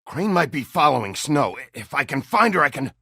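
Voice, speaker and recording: Casting: brusque noir game sheriff, rough creaky voice with swinging pitch, terse and blunt. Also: male voice, very animated, a little fast, sharp tone, no echo, studio quality, exaggerated voice. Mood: urgent, agitated. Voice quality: gravelly, creaky. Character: gruff, brusque male sheriff in a fairy-tale noir.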